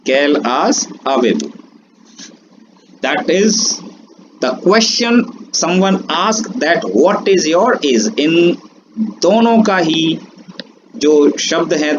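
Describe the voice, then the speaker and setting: A man teaching a French lesson, explaining slowly and drawing words out. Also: on the high side. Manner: slow, drawn-out explanations mixing Hindi and English. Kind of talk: online language lesson. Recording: dull lo-fi sound with steady background noise.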